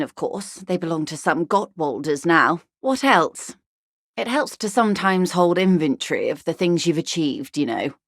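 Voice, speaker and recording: A woman playing a composed, shrewd corporate negotiator in a video game, speaking in a measured, refined and dryly ironic way. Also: lowish voice, no room sound, clean studio sound, close to an everyday voice. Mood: cultured, conversational. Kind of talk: video game character dialogue.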